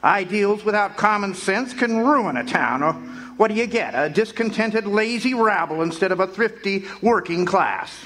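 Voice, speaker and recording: An actor playing a cold, greedy old banker in a live radio play, harsh and dismissive. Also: male voice, high-pitched, animated, normal speed, sharp tone, a little hiss or hum, poor audio. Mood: scornful.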